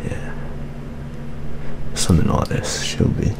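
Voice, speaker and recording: A young man with a British accent playing a weary, fatherly police detective in a hushed, partly whispered ASMR roleplay. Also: slow, background noise, close to an everyday voice. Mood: hushed, businesslike. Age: young adult.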